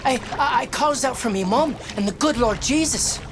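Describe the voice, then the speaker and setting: A woman's voice: high-pitched, expressive, moderate pace. A woman voicing a timid, earnest young male soldier with a Newfoundland accent, telling a story in a folksy way in a game. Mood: agitated storytelling. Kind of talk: dramatic game dialogue and storytelling. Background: music.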